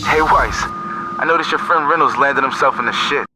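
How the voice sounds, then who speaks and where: A man's voice: medium pitch, a little fast, lightly acted, low-quality recording. Man playing a sly, crude hacker over music. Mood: teasing. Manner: chummy, leering, insinuating. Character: sly, crude, wheedling male eavesdropper Bruce, a stranded hacker. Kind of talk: video game cutscene voice acting. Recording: music behind the voice.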